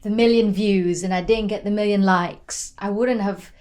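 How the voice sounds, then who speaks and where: Chatty young woman of twenty as a guest, many fillers, Tagalog speaker, mid-pitched voice. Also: fairly even intonation, moderate pace, a little mellow. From Philippines. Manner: chatty, many "like" and "you know" fillers, laughs often. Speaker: podcast guest. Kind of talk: casual interview chat.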